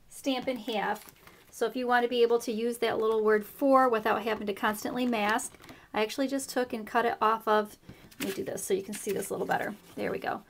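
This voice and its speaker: A chatty woman hosting a craft tutorial at her work table, her voice pitched a touch high and slightly rough. She explains each step in a relaxed, friendly way with plenty of fillers. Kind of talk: casual stamping tutorial.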